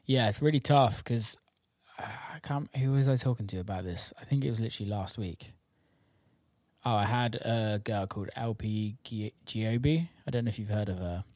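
A man's voice: on the low side, moderate pace, a little mellow, no echo. Sweary, informal man in a dry recording. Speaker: music producer and interviewer. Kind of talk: casual chat.

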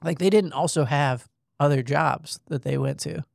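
A man's voice: a little high, normal speed, gravelly, darkish tone, no room sound, studio quality. A man co-hosting a casual fitness podcast, chatty and joking as he tells stories. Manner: joking, chatty storyteller, laughs often. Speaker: podcast co-host.

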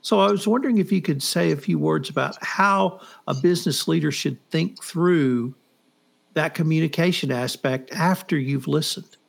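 A man's voice: on the high side, moderate pace, gravelly. An American man hosting a podcast and putting questions to a guest in a conversational way. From United States. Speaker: podcast host. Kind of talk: conversational interviewing.

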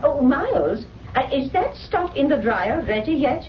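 A woman's voice: sits high, sing-song, unhurried, distant mic, a little hiss or hum. An actress playing a genteel elderly mother calling out in a fluttery manner in a radio mystery drama. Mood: bright, fluttery. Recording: muffled, echoey, off-mic vintage radio.

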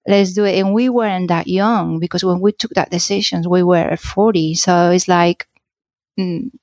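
Spanish-accented woman, low dry voice. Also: fairly even intonation, moderate pace, a little mellow, no echo. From Spain. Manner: hesitant, searching for words, restarts. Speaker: guest, shop owner. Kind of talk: casual interview answers.

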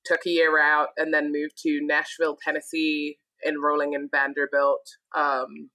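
Low, bright-voiced woman. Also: completely flat delivery, moderate pace, no room sound, rough recording. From UK, born in Scotland and raised in northern England. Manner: upbeat and chatty, laughing easily. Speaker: guest. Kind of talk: casual podcast conversation.

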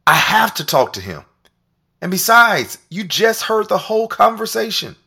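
An actor playing a smitten, stressed senator in an audio drama, with a husky voice. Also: male voice, high-pitched, sing-song, moderate pace, sharp tone, full character voice. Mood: pleading, defensive.

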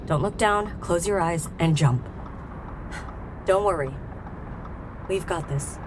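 An actress playing an unflappable fugitive schemer in an audio drama, brisk, deadpan and authoritative. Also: female voice, on the low side. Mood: commanding. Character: cool, commanding woman fugitive and schemer, unflappable. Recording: vehicle noise behind.

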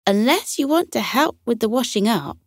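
A woman voicing a gentle, cheerful young male panda cub in a children's bedtime story. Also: on the high side, lively intonation, moderate pace, slightly rough, dry recording, clean studio sound, voice pushed up. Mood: playful scolding.

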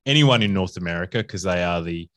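A man's voice: deep voice, animated, fast talker, a bit bright. A man with an Australian accent, a podcast guest talking casually and upbeat, with lots of fillers and restarts. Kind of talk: casual conversational interview.

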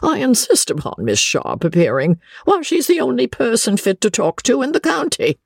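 A reader voicing a shrill, imperious elderly spinster who is wealthy and witty, in a storybook reading. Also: wildly swooping pitch, moderate pace, gravelly, husky, no echo, clean studio sound, exaggerated voice. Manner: commanding, teasing.

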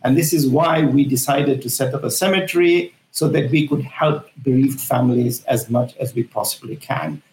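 An older man from Zambia, answering interview questions earnestly, with frequent pauses and fillers. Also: mid-range voice, a little mellow. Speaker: guest, cemetery founder. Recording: echoey room.

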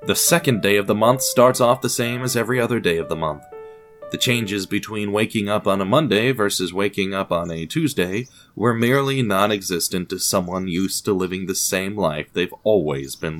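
Male narrator for radio drama, lower register, measured and theatrical, deliberate pauses, light music bed. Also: a bit bright. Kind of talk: scripted dramatic story narration.

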